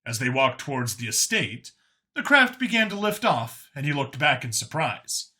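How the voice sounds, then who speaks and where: Bright-voiced man narrating a story. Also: medium pitch, big pitch swings, normal speed. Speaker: audiobook narrator.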